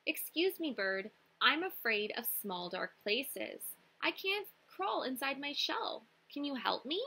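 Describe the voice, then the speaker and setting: A woman's voice: high voice, sing-song, slow pace, gravelly, bright, forceful tone, voice pushed up, full character voice. A young woman voicing a timid, polite young male turtle in a storybook, earnest and pleading in a childlike way. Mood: worried, pleading.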